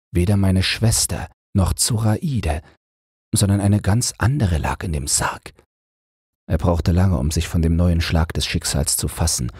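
A male audiobook narrator tells a bedtime fairy tale. His voice is low and very husky, recorded dry with hardly any room around it. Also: a little mellow, no echo.

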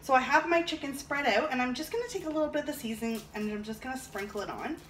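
A woman's voice: high voice, animated, fast talker, a bit bright. A woman in her thirties chatting casually and thinking aloud as she cooks at home, recorded on a camera an arm's length away. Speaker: vlog host cooking and reviewing a product. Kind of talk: casual chat while demonstrating cooking. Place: home kitchen, indoors. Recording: clattering dishes and clicks, noisy room.

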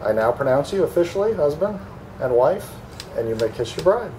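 A man with a fairly high voice officiates a wedding in a formal, ceremonial style. He is recorded outdoors with very loud background noise and ambience all around him. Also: very animated, a little fast. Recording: very noisy outdoor event recording.

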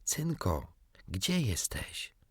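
A man's voice: low voice, huge pitch swings, drawn-out words, fairly bright tone, husky, no echo, studio quality, full character voice. A man voicing a proud, prickly young boy in a storybook, polite but curt and indignant. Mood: hushed, eager.

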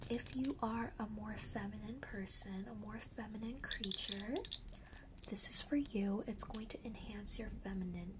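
A young woman playing a friendly witch perfume seller in an ASMR roleplay, whispering softly and breathily close to the microphone in a casual, slangy, reassuring way. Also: mid-range voice, steady tone, moderate pace, very noisy, close to an everyday voice. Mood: encouraging, friendly. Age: young adult. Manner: casual, slangy, gently coaxing. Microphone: close large studio microphone.

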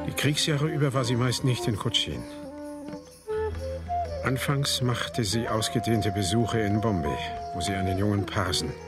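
A man narrating a literary radio drama from a script. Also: fairly low pitch.

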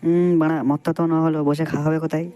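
Man playing a high-pitched, fast, foul-mouthed cartoon buddy. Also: flat delivery, dark tone, smooth, natural voice. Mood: wary, irritated. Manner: slangy, repetitive, blustery. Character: generous but wary, foul-mouthed young male buddy. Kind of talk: crude comedy cartoon dubbing.

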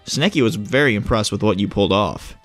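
A man's voice: on the low side, brisk, a bit bright, soft background music. An actor playing a bored, easygoing male minder with an offhand, rambling manner in a horror audio drama. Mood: flippant. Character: bored, flippant male babysitter-bodyguard, easygoing oddball.

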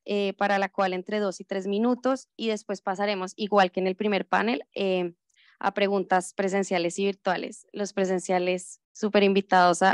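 Smooth-voiced woman moderating a panel. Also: mid-range voice, no room sound, studio quality. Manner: friendly, organized, with fillers.

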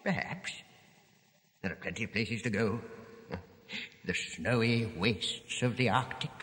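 Slow, theatrical, sinister uncle in echoing hall. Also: male voice, lowish voice, fairly bright tone. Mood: coaxing, gleeful. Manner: theatrical, coaxing, savouring words. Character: sinister grandfatherly storyteller, self-styled uncle, coaxing and boastful. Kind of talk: audio drama acting. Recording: long echoing reverb, slight hiss.

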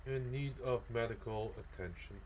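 A man's voice: medium pitch, normal speed, darkish tone, poor audio. A man chatting casually and profanely as he streams an in-game roleplay. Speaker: roleplay streamer playing a medic. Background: game sirens and vehicle noise.

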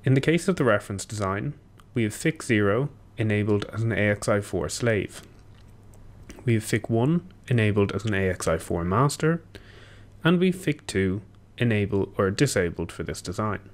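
A young man giving a technical walkthrough, steady and explanatory with occasional ums and self-corrections, recorded at a desk in a small room. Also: on the low side, normal speed. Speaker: engineer presenting a tutorial. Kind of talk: technical walkthrough over screen share.

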